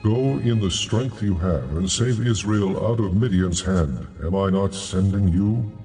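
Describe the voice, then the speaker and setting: A man playing God in a dramatized audio Bible, solemn, authoritative and measured. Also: low voice, warm tone, faint music behind. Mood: calm, commanding. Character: God, calm commanding divine male voice.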